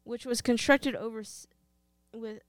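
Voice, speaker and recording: A woman's voice: on the high side, fairly even intonation, measured pace, smooth, no room sound. A woman with a Texas twang, co-hosting a podcast, moving between casual chat and reading out research notes. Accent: American, Texas twang. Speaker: podcast co-host.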